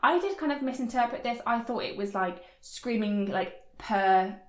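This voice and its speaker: A woman's voice: a little high, expressive, normal speed. A woman reviewing a TV episode in a casual chat, full of "like" and "um", sometimes laughing as she talks. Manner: chatty, lots of "like" and "um", laughs while talking. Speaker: TV review vlogger. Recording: echoey room.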